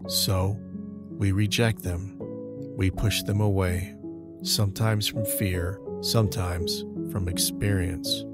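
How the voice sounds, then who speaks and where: A man's voice: sits low, fairly even intonation, slow, over loud music. A man narrating a scripted audio drama, reading scene descriptions as the narrator.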